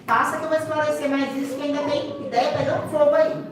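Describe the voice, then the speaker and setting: A high-pitched Brazilian woman at the front of a noisy seminar hall, emphatically quizzing her audience as she teaches, heard from the back rows. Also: fairly even intonation, fast talker. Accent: Brazilian Portuguese. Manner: didactic, emphatic, asks rhetorical questions and repeats key points. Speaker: seminar speaker, sign language teacher and interpreter. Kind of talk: interactive lecture to an audience. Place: large echoey room, far from the mic. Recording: echoey hall, distant microphone, clear background noise.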